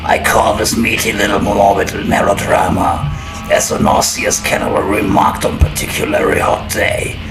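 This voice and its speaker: An actor playing a gleefully ghoulish old storyteller who narrates a horror audio drama in a macabre, mock-sinister manner. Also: male voice, fairly low pitch, normal speed, close to an everyday voice. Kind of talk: theatrical horror anthology narration. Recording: roomy echo, distant, loud music underneath.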